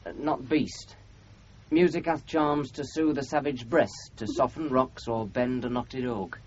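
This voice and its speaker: Actor as a hesitant, awkward young Northern bridegroom. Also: male voice, mid-range voice, measured pace, darkish tone, a little hiss or hum, lightly acted. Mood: nervous. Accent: Northern English. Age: young adult. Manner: hesitant, stammering, apologetic. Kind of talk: 1970s radio drama acting. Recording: muffled 1970s radio broadcast, music behind.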